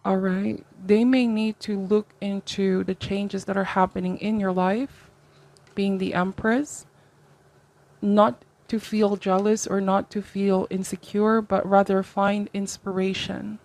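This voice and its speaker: A woman's voice: medium pitch, level tone, slow, darkish tone. A woman hosting a conversational tarot reading, speaking to the viewer in a reflective, advisory way and often checking in with short tags. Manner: reflective, advisory, seeks agreement with tags like okay and right. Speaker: tarot reader, host.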